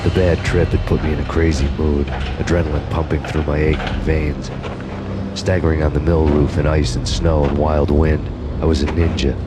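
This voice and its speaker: An actor voicing a weary, sardonic hard-boiled detective, narrating a noir video game in slow, poetic deadpan. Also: male voice, extremely low pitch, loud music behind the voice. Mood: grim, weary.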